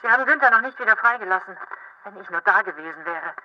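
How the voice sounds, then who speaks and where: Casting: anxious woman on a thin, distant phone line, halting and wary, radio play. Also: medium pitch, lively intonation, dry recording. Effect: telephone filter. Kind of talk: German radio drama acting.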